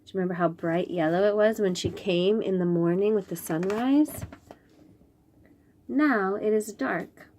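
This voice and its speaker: A woman storyteller reading picture books aloud to young children, gently and with wonder, pausing to chat to the listeners. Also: mid-range voice, slight background noise. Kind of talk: expressive picture-book read-aloud with asides to young listeners.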